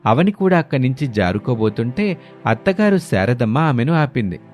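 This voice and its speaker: A man's voice: medium pitch, big pitch swings, moderate pace, darkish tone. Man narrating a moral tale over music. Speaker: storyteller narrator. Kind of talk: scripted moral story narration.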